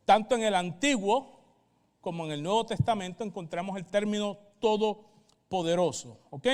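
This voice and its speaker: A man's voice: high-pitched, darkish tone. A pastor, a man with a Puerto Rican accent, teaching the Bible in a didactic way and checking in with short questions. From Puerto Rico. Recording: reverberant hall sound.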